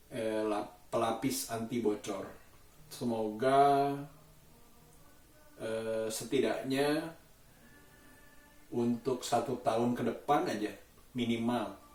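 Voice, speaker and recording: Slow-talking man in an echoey room. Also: medium pitch, steady tone, a little mellow. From Indonesia. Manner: halting, thoughtful, searching for words. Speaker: vlogger. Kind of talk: casual daily vlog monologue. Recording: roomy echo, distant mic.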